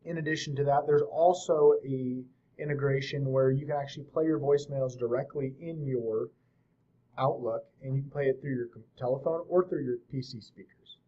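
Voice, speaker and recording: A man hosting a software tutorial, explaining things step by step in an informal, casual way. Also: medium pitch, fairly even intonation, normal speed, muffled recording. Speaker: host of a software tutorial series. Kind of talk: casual screen-share tutorial narration.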